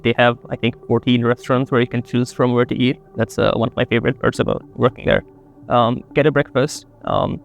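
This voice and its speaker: A young man from Bangladesh, a college student, answering interview questions in a relaxed, rambling way with lots of fillers. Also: medium pitch, creaky. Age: young adult.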